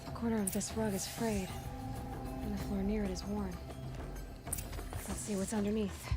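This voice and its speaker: A woman's voice: medium pitch, flat delivery, moderate pace, lightly acted. Cool, resolute young warrior woman played by an actress. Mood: focused, resolute. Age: young adult. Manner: cool, matter-of-fact, resolute. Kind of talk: naturalistic video game acting. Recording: game music behind dialogue. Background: cinematic game music.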